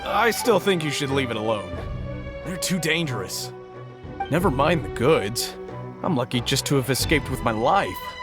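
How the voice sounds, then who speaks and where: A man's voice: medium pitch, very animated, moderate pace, over loud music, natural voice. A man voicing a timid, anxious traveling merchant in a video game, speaking in a worried, pleading way. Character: nervous, timid male traveling merchant with a family.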